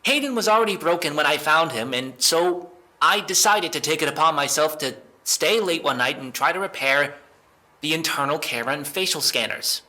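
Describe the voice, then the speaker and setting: Man acting a cornered young man, high sharp voice. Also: animated. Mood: uneasy, confessing. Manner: halting, sweary, emotional delivery. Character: cornered, defensive young man protesting his innocence. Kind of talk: dramatic audio drama acting.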